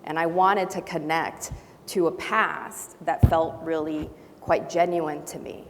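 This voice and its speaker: A woman from Brazil and Honduras whose first language is not English, speaking as a panelist with thoughtful pauses and restarts. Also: sits low, fairly even intonation. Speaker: panelist, faculty member. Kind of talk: panel discussion. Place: large auditorium. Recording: long hall reverb, faint noise.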